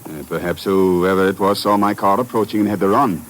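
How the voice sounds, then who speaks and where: A man's voice: sits low, steady tone, fairly quick, lightly acted. An actor playing a methodical, concerned police inspector in a 1950s radio drama, formal in his questioning. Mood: concerned, probing. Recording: noisy vintage 1950s radio recording.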